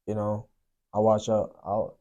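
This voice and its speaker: A young man from Sri Lanka, a guest telling his life story in a soft, unhurried way, often filling with "you know, man". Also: on the low side, monotone, dull audio. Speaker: guest sharing testimony. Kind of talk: personal testimony, casual storytelling.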